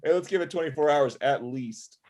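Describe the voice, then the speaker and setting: A man's voice: a little high, fairly quick, darkish tone, natural voice. A man playing a self-assured matchmaking boss with a casual manner in a comedy table read on a video call. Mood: breezy. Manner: casual, offhand. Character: breezy, self-assured male matchmaking business owner. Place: indoor room at home. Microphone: video call, sitting back from laptop.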